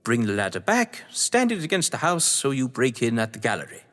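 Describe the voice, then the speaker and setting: An actor playing a scheming, theatrical English crime novelist in an audio drama, pompous, arch and bossy, with an English accent. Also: male voice, mid-range voice, huge pitch swings, fairly quick, fairly bright tone. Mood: gleeful, commanding. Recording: long hall-like reverb.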